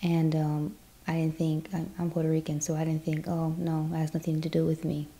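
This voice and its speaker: A woman's voice: low-pitched, completely flat delivery, brisk, dark tone. A woman from the US Midwest telling her personal story in a chatty vlog, with lots of "you know". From Chicago, US Midwest. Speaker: vlogger.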